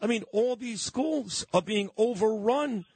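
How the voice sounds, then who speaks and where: A man's voice: sits high, measured pace, darkish tone, breathy edge, no echo. A man hosting a radio interview, talking emphatically in long run-on lists. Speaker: radio talk host.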